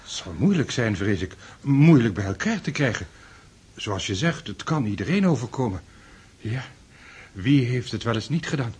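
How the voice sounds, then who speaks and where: An actor in a radio drama playing a fond, faltering older count, an indulgent father with a husky voice. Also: mid-range voice, sing-song, moderate pace, darkish tone. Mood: shocked, resigned.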